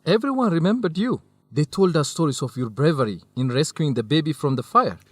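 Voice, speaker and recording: An actor playing an earnest, modest young Ethiopian research partner and interpreter in an educational radio drama. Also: male voice, fairly high pitch, lively intonation, fairly quick, no room sound. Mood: friendly.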